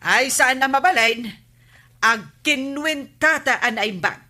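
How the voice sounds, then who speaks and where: Actress as scolding village woman, high sharp voice, Ilocano accent, argumentative, exasperated, comedic radio drama. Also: expressive, slow pace, heavily acted. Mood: exasperated, haggling. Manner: nagging, argumentative.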